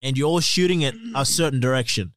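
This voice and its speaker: A man's voice: medium pitch, fast, fairly bright tone, no room sound. A man co-hosting a podcast in casual chat, rambling, swearing and laughing often. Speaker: podcast co-host. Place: podcast studio.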